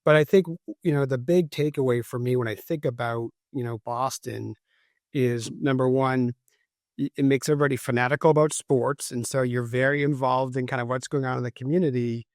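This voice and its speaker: A man's voice: mid-range voice, steady tone, fairly quick, dry recording, studio quality. A man in his fifties from the Boston area, talking reflectively as a podcast guest and often checking in with "right?". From Cambridge, Massachusetts. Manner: reflective, tags points with "right?". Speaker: guest, former FBI agent and CEO. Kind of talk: conversational podcast interview.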